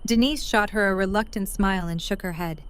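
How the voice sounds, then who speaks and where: Mid-pitched woman narrator. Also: a little fast, smooth. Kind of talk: scripted narration of audio drama.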